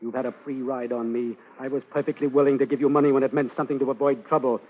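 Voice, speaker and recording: On a dull vintage radio recording, an actor plays a cold, bitter killer. His voice sits at a medium pitch, hard-boiled and full of threat, in fifties radio drama fashion. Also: flat delivery, quick pace, natural voice. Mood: cold menace. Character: cold, vengeful male killer, menacing and bitter. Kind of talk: 1950s radio drama acting.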